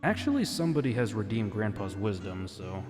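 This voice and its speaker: A man's voice: on the low side, animated, a little fast. A man hosting a casual ASMR roleplay livestream, chatting to viewers in a courteous, playful way. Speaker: livestream host. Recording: long reverb, music behind.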